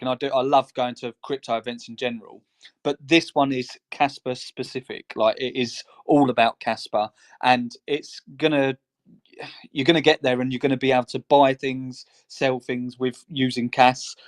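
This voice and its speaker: A man's voice: mid-range voice, steady tone, normal speed. Man, podcast co-host, casual chat, rambling, chatty, mid-range voice. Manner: rambling, chatty, laughs between phrases.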